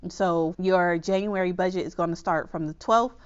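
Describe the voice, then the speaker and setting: Woman host, slightly nasal with a cold, lower pitch, smooth voice, chatty how-to delivery, dull lo-fi sound. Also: steady tone, moderate pace. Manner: chatty, reassuring, checks in with "okay?". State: under the weather, slightly nasal. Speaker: budgeting channel host. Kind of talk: casual explainer to camera.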